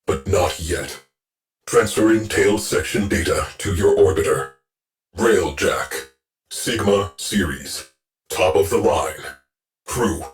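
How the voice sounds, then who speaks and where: A grim, terse ship AI. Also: male voice, low-pitched, slow pace, faint music behind. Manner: terse, flat clipped reports. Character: cold grim male ship AI, clipped and mournful. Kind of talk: game voice acting.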